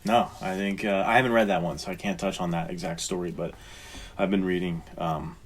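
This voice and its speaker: A man's voice: low voice, fairly even intonation, a little fast, slight background noise. A man co-hosting a podcast, talking informally about books and explaining his thoughts in a casual chat. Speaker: podcast co-host.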